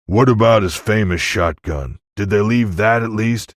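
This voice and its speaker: A giant, tough gunslinger from a storybook , acted by a man. He is proud and folksy, drawling slowly, recorded dry and close. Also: on the low side, no room sound, pitched above the performer's own voice, lightly acted. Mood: gruff resolve.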